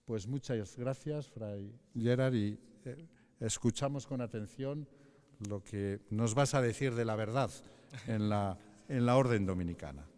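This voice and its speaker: A man presents a guest with a mellow, smooth voice at an ordinary pitch. He reads the introduction with small hesitations and corrects himself now and then. A long reverb from a large hall surrounds him. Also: unhurried. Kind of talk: formal introduction.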